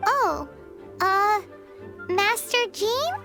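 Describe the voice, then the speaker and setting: An extremely high, swooping childlike fairy, made for a video game. The little companion is sassy and exclaims with indignation. Music plays clearly behind the voice. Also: female voice, sing-song, very slow, sharp tone, full character voice. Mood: chirpy, earnest. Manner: talks of self in third person, exclaims.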